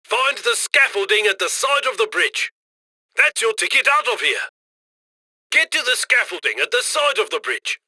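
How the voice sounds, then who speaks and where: A brash, upbeat male mission handler from a video game, barking cocky instructions to a criminal crew. His voice sits high and cuts through with a sharp, very bright edge. The sound is dry and close, with punchy, commanding delivery. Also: sing-song, moderate pace, no echo, exaggerated voice. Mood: upbeat, brisk. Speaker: mission handler giving instructions over comms.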